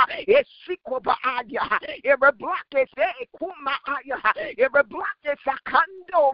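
A woman's voice: high voice, dry recording. A woman leading fervent intercessory prayer, chanting rhythmically in tongues between English declarations, with frequent filler sounds. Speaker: prayer leader. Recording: dull lo-fi dry recording.

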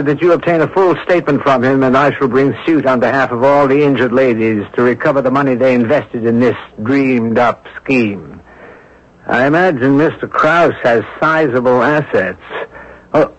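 An actor playing a shrewd, self-assured sleuth in a radio drama, speaking with deliberate, wry formality. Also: male voice, medium pitch, close to an everyday voice. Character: shrewd, self-assured male sleuth calmly cornering a swindler. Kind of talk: old-time radio drama acting. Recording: dull lo-fi radio recording.

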